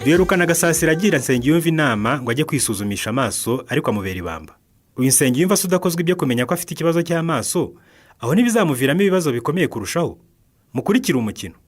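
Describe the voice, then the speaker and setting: A Rwandan man narrates a radio drama introduction. His slightly high voice moves very fast over quiet music, reading from a script. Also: sing-song.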